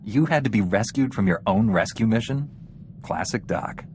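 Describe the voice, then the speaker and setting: A heroic space knight, voiced by a male actor, low and measured. He is composed and wry, in video game dialogue with a faint noise behind him. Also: expressive, a little mellow, natural voice. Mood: dry, wry. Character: composed, dryly witty heroic male Jedi warrior.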